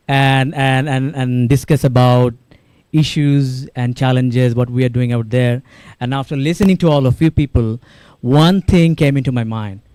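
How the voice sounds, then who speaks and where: A man's voice: medium pitch, a little mellow, clean tone, no room sound. A young man gives an informal conference talk, searching for words with fillers and repeated words. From Bangladesh. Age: young adult. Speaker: panel speaker. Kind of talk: speech at a conference.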